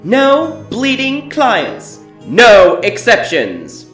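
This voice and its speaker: A man voicing an enchanted sign in a dark fairy tale, shrieking its message in loud, drawn-out proclamations. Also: huge pitch swings, roomy sound, recorded from a distance, soft background music, exaggerated voice. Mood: stern warning. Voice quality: shrill, shrieking. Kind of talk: audiobook fantasy character voice.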